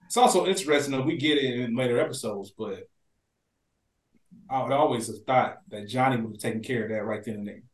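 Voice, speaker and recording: A man's voice: mid-range voice, brisk. American man chatting in an echoey room. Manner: colloquial, conversational. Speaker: podcast co-host. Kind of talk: casual TV review podcast chat. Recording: roomy, echoey sound.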